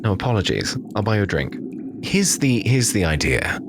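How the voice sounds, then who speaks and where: A man's voice: lowish voice, very animated, normal speed, noisy background. A man playing a vengeful, scheming gang boss in an improvised tabletop game, blunt and theatrical. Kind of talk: improvised tabletop role-play. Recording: music behind the voice.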